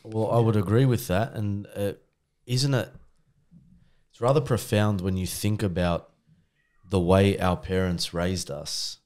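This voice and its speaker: A man co-hosting a podcast, speaking in a measured, earnest and reflective way. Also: fairly low pitch, fairly even intonation, darkish tone. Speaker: podcast co-host. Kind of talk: casual conversation.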